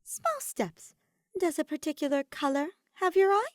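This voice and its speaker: A woman's voice: extremely high pitch, very animated, normal speed, no room sound, clean studio sound, pitched above the performer's own voice. A woman voicing an eager, encouraging young female mage with an emphatic, theatrical way of talking, in a fan fiction reading. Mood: gently encouraging.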